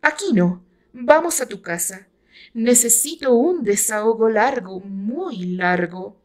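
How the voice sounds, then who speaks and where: A woman's voice: on the high side, lively intonation, slow. A woman voicing a firm, restless male lover in a dramatized romance story, speaking with commanding urgency.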